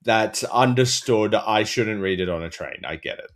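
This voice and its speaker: A man's voice: fairly low pitch, normal speed. An Australian man on a comedy podcast panel, telling stories in an irreverent, blokey way. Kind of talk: comic anecdote telling.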